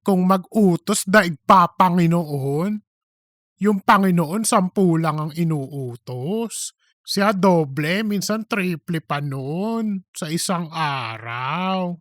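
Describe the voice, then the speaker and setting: A man's voice: high voice, lively intonation, slow, fairly bright tone, no echo, studio quality, exaggerated voice. A man playing a gossipy, cheeky office worker in a comedic audiobook, speaking in a campy, dramatic, slangy way. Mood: mocking. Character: gossipy, cheeky, self-promoting office worker named Cheese.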